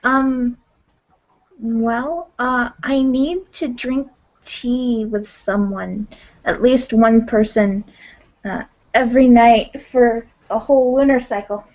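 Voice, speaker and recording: A woman playing a gentle, nurturing, somewhat hesitant werewolf mystic in a tabletop roleplaying game. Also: a little high, takes it slowly, lo-fi sound. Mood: friendly. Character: gentle, nurturing female werewolf mystic who offers comfort.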